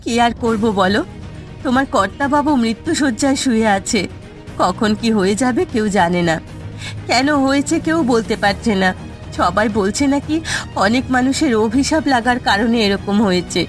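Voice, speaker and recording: A woman's voice: on the high side, lively intonation, normal speed, faint music behind, natural voice. A woman voicing an anxious, remorseful village wife in a cartoon, plaintive and melodramatic. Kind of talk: cartoon voice acting.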